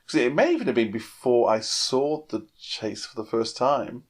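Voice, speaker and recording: A man's voice: mid-range voice, sing-song, moderate pace. A man chatting casually on a podcast, rambling and repeating words as he works through his thoughts. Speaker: podcast panellist. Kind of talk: casual chat.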